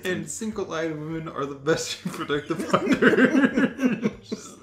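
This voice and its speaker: A man's voice: a little high, big pitch swings, takes it slowly, a crowd in the background. A man reading aloud in a comedic, casual way, joking and often breaking into laughter as he goes. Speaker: host reading an encyclopedia aloud and commenting. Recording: roomy echo with background music.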